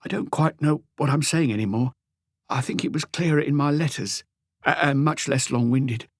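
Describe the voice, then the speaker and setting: A man acts out a lonely, betrayed husband in an audiobook, his voice pitched up and pleading. He confesses in a halting, rambling way, meek and anguished, in a dry, close recording. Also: no room sound. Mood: rambling, apologetic. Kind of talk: audiobook character dialogue.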